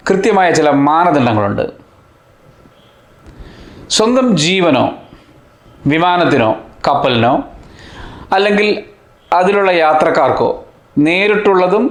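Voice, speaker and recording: Higher-voiced man explaining, roomy sound. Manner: deliberate, short phrases with rhetorical questions. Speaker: host of a science explainer channel. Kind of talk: scripted explainer narration. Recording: roomy echo on the voice.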